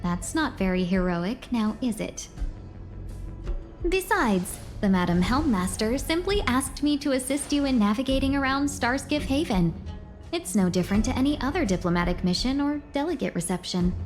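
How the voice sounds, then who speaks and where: A woman's voice: a little high, lively intonation, moderate pace, faint music behind, close to an everyday voice. A woman voicing a sly, playful, genteel young businesswoman guide in a video game, coy and polished in manner. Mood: coy, teasing.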